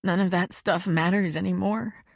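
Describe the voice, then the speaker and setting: A woman's voice: on the low side, fairly even intonation, moderate pace, gravelly, slightly rough, dry recording, clean studio sound. A woman voicing an upset, humiliated teenage girl who sounds small and tearful. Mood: weary.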